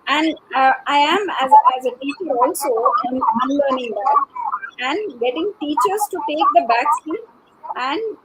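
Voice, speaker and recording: Female educator for panel talk, high voice, measured explanations, clearly noisy line with clicks. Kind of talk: earnest panel discussion. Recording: noisy online call with clicks.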